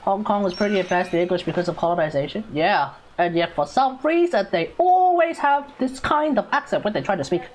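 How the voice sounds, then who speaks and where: A woman's voice: mid-range voice, lively intonation, a little fast, hardly any pauses, a little mellow, rough recording. A young woman with an Australian accent, chatting loosely as she streams a game, rambling and repeating herself. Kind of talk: casual livestream chat while gaming.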